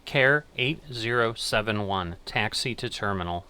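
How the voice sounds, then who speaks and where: A middle-aged man with a low, bright voice talks slowly through a game. He thinks aloud casually and reads out controller commands, with faint noise behind him. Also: animated. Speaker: gaming channel host playing air traffic controller. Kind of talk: casual gameplay commentary with radio commands.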